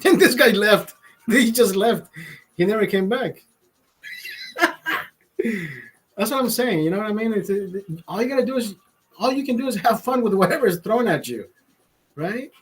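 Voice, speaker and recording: A man in his forties with a notably high voice, chatting loosely to his livestream viewers. He rambles, swears freely and restarts phrases, and he sounds a little tipsy as he sips beer. His pep talks swing loud and emphatic. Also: lively intonation, normal speed, pauses often. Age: 40s. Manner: loud emphatic motivational rants. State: drinking beer, a bit tipsy. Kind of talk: casual livestream chat.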